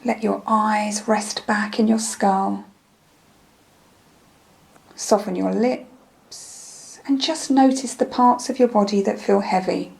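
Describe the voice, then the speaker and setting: A woman leading a guided meditation in a gentle, soothing, unhurried manner, with phrases that trail off softly. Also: medium pitch, fairly even intonation, low-quality recording. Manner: soothing, gentle, unhurried instruction with soft breathy phrase endings.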